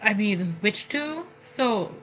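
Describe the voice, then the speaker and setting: A woman's voice: medium pitch, expressive, takes it slowly, fairly bright tone, soft background music, low-quality recording. A woman playing a tabletop roleplaying game, chatting casually with the group. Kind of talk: casual chat.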